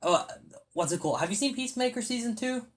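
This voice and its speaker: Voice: medium pitch, expressive, fast talker. A podcast co-host chatting casually about a film, rambling and stopping to search for words aloud, with the odd casual swear.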